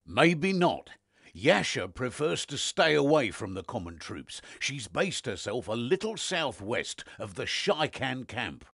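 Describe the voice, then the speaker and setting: Sharp, gravelly, cocky hunter. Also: male voice, mid-range voice, very animated, unhurried, no room sound, clean studio sound, full character voice. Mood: calm, knowing. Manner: blunt, sardonic. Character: brash, cocky male hunter sidekick. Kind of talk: dramatic video game acting.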